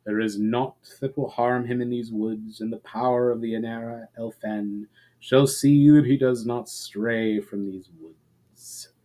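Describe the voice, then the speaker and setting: Storybook elf guard, male, ancient man acting: mellow, middle-pitched, formal, measured, gently weary and wistful. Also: fairly even intonation, close to an everyday voice, poor audio. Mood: courteous. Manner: formal, measured, archaic phrasing. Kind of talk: dramatic storybook reading.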